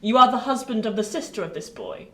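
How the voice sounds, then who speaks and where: A bitter, commanding old lady of a Victorian radio drama, fairly high in pitch. She speaks with haughty theatricality. The sound is roomy and set back from the mic. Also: expressive, quick pace, bright, forceful tone. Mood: cold, commanding. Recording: roomy, distant, noisy home recording.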